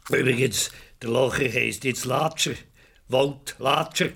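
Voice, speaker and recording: An actor playing a bossy, greedy, incredulous village innkeeper with a Swiss German accent in a radio drama. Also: male voice, mid-range voice, measured pace, vocal fry, fairly bright tone. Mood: excited. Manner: repeats questions in disbelief, curt orders.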